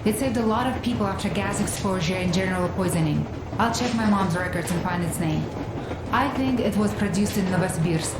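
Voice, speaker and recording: A practical female medic played by a woman in a video game drama. She reasons calmly and precisely at a middle pitch, in a roomy space with noticeable ambient noise. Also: brisk, natural voice. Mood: calm, reasoning. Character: level-headed, practical female medic, calm and analytical.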